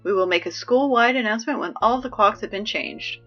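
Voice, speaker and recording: A woman playing a chipper high school student reading announcements in an audio drama, brisk and deadpan about absurd horrors. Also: muffled recording, faint music behind, natural voice. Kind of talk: scripted audio drama acting as public announcements.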